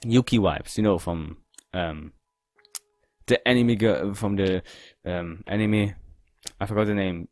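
A man giving casual game commentary in halting, non-native English phrasing. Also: on the low side, a little mellow, no room sound, clean studio sound. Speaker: gaming video host.

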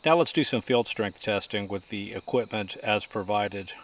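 A man's voice: fairly low pitch, moderate pace, background noise. A middle-aged man giving scripted technical narration in precise, formal wording as host of a radio-testing video.

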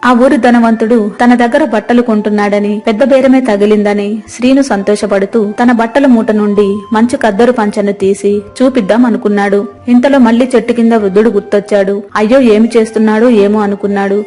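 A woman's voice: a little high, a little mellow. A woman narrator reading a scripted moral story.

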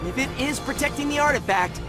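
A man playing a brash, determined teenage hero in an animated game cutscene, with a wisecracking manner. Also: very high voice, fast talker. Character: brash heroic teenage boy leader. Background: music.

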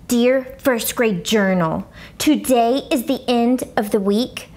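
A woman voicing an excitable, blunt first-grade girl in an expressive children's book read-aloud, with childlike grammar and exaggerated emphasis. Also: on the high side, slow pace. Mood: dramatic, earnest.

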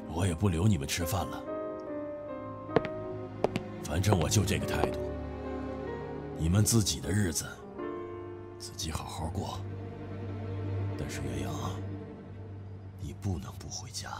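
Stern patriarch for radio play, male actor: very low, deliberate, weighty, softening underneath, prominent music bed. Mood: stern, relenting. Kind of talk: Chinese audio drama acting. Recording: background music under the dialogue.

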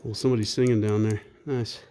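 A man's voice: lowish voice, little pitch movement, quick pace, warm tone, slight background noise. A man narrating a casual off-the-cuff vlog, rambling and thinking aloud in a folksy way. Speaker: vlogger exploring a cave. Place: cave trail.

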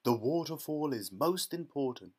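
A man voicing a flamboyant, excitable chocolate factory owner in a storybook, theatrical and gushing, with emphatic repeats. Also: on the high side, moderate pace, slightly rough, voice pushed up. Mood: gushing, proud.

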